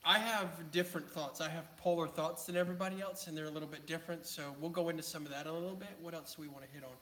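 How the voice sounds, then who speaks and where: A man joining as a guest on a casual crypto livestream, soft-spoken and hesitant, sitting a little away from the laptop mic. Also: a little high, steady tone, very fast, echoey room, a little hiss or hum. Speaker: guest co-host. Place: indoor room, away from the mic.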